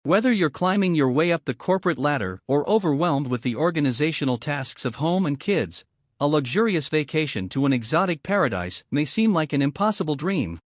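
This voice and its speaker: A man's voice: on the high side, lively intonation, brisk, no room sound, clean studio sound. A man reading practice passages aloud in a scripted narration style. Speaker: reader of English test practice passages.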